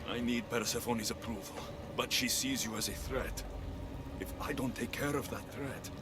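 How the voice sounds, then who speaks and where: A man playing a determined adventurer hero in a video game, earnest, measured and persuasive. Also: medium pitch, fairly even intonation, very noisy, natural voice. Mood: measured, concerned. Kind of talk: video game cutscene acting.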